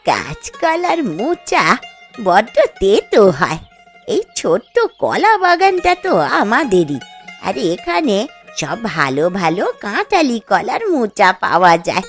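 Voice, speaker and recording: Lady doing a plaintive feisty old granny in a cartoon, slowish and really singsong, music under. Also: medium pitch. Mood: musing, hopeful. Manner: plaintive, expressive, homely. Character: poor elderly village mother-in-law, plaintive but feisty. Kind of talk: Bengali cartoon story acting.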